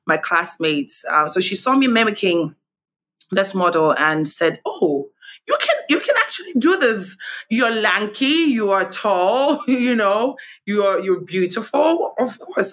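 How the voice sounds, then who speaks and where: A young Ghanaian woman with a Ghanaian accent, chatting as a guest over a remote call, lively with frequent laughs. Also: lowish voice. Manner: lively, laughs often, many fillers. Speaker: guest, TV host. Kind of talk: casual interview chat. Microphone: video call.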